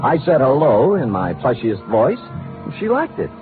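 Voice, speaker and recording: An actor playing a breezy, wry, wordy, hard-drinking private detective in a 1940s radio drama. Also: male voice, medium pitch, wildly swooping pitch, unhurried, narrow, tinny audio, over music. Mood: wry narrating. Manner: wry, glib, theatrically wordy. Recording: thin band-limited old radio recording, faint noise.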